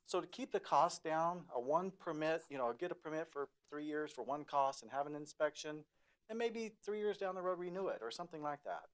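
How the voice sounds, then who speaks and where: A man with a somewhat high voice offers a practical suggestion at a county meeting. He speaks haltingly and often restarts his sentences. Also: animated. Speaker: landlord commenting at a public meeting. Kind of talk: public comment at a meeting. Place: public meeting room.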